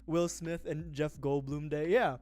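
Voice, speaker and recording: A man's voice: on the high side, fairly even intonation, a little slow, darkish tone, soft background music. Chatty young man from the Philippines. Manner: rambling, chatty, laughs often. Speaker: vtuber streamer. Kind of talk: casual chat while building a model kit.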